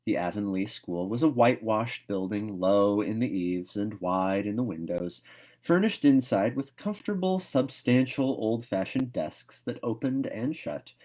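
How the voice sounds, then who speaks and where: A man with a fairly low voice narrates a storybook. He reads expressively, as someone reading a novel aloud to listeners. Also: lively intonation, unhurried, warm tone.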